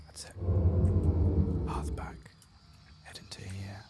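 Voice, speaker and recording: A soft-spoken man murmuring and whispering ASMR commentary as he plays a video game, reading some text aloud. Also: takes it slowly, loud music behind the voice. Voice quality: low, gravelly, breathy murmur. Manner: reads quest text aloud softly. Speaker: streamer playing and narrating a video game.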